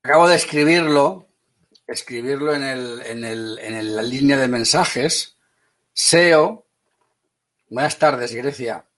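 A man from Spain with a Spanish accent teaching an online class, brisk and teasing, calling on students by name. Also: mid-range voice, fairly bright tone.

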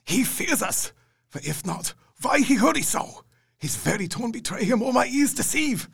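An urgent old professor. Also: male voice, very high voice, sing-song, normal speed, creaky, fairly bright tone, slightly rough, full character voice. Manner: urgent, clipped commands in broken grammar. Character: urgent elderly male vampire-hunting professor.

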